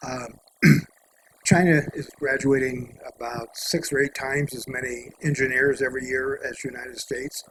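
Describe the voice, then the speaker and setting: A man's voice: medium pitch, steady tone, normal speed, a bit bright, rough recording. A man in the audience asking a direct question. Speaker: audience member. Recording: audience voice with clear background noise.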